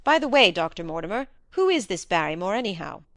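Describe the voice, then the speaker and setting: A woman voicing a blunt, plain-spoken young gentleman in a storybook, with an informal manner. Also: fairly low pitch, sing-song, fast, no room sound.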